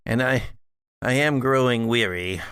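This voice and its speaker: A man playing an undead elderly patriarch in a tabletop horror game, rambling and halting, wry and weary. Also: medium pitch, fairly bright tone, a little hiss or hum. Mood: taunting, weary. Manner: halting, rambling storyteller with musing asides.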